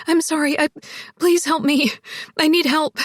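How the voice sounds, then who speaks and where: A woman's voice: high-pitched, steady tone, a little slow, no echo, clean studio sound, voice pushed up. A woman voicing a desperate, frightened woman in an audiobook, shaky and pleading, with breathless, apologetic delivery. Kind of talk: audiobook character dialogue.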